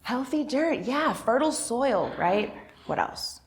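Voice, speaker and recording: A woman's voice: fairly high pitch, big pitch swings, slow. A woman giving an interactive talk for all ages at church, informal and encouraging, prompting listeners with questions. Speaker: church faith and justice coordinator.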